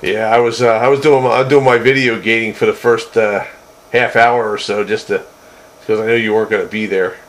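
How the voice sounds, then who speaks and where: A man's voice: lowish voice, steady tone, a little fast. A man chatting casually and in a folksy way as an operator over a two-way CB radio. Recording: noisy two-way radio transmission.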